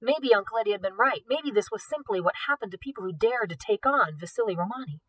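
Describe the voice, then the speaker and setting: A woman reading a story aloud in an expressive, scripted narration style. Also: medium pitch, fast, slightly rough. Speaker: audiobook reader, teacher.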